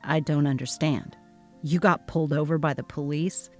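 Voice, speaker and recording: A woman's voice: deep voice, steady tone, normal speed, faint music behind, voice pushed down, natural voice. A woman voicing a worried, conflict-avoidant young husband in a dramatic reading of a text-message story. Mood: placating.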